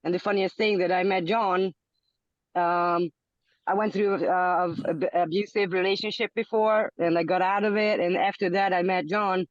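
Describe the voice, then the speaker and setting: A woman's voice: lowish voice, fairly even intonation, normal speed, no room sound. Female guest; searching, repetitive phrasing; dull, dry call audio. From Hungary. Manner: searching, repeats words when thinking. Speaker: podcast guest. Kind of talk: casual interview talk.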